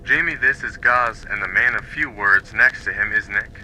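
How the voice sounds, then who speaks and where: A man's voice: fairly low pitch, normal speed, bright, forceful tone, a little hiss or hum, low-quality recording. A man playing a businesslike, authoritative control room boss in a dramatised audiobook. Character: businesslike male control room boss showing newcomer around.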